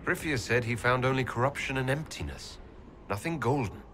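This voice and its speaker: A man's voice: mid-range voice, expressive, fairly quick, fairly bright tone, a little hiss or hum, close to an everyday voice. A man playing a young, skeptical elven hero in a game cutscene, speaking in a restrained, blunt and serious way. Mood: guarded, serious.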